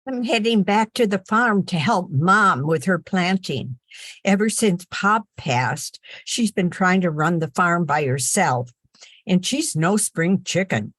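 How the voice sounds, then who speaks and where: An older woman playing a sensible, sharp-tongued farm girl in a 1940s radio play, folksy and firm. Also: on the low side, animated, slow pace, dry recording, clean studio sound, heavily acted. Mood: exasperated, firm.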